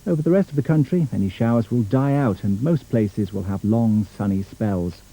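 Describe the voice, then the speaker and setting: A man's voice: fairly low pitch, moderate pace, dark tone. A British man working as a television continuity announcer, reading scripted links and forecasts. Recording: slightly dull 1980s TV recording.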